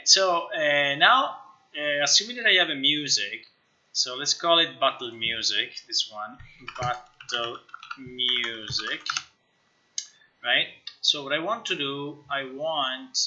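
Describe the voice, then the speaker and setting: Sharp, bright-voiced man thinking aloud, slow-paced. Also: medium pitch. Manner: halting, musing, talks to himself. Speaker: game developer live-streamer. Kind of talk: casual live screen-share commentary, thinking aloud. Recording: slightly dull, lo-fi stream audio.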